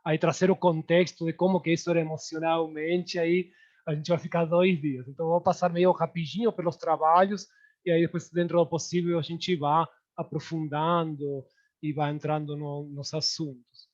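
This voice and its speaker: A man from Argentina with an Argentine Spanish accent, speaking as a guest artist in a relaxed, digressive way with many hesitations. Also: a little high, normal speed, lo-fi sound. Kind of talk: online panel talk.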